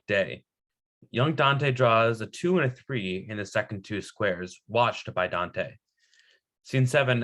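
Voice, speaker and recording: Lowish-voiced man reading stage directions. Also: fairly bright tone. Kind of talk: scripted narration at a table read.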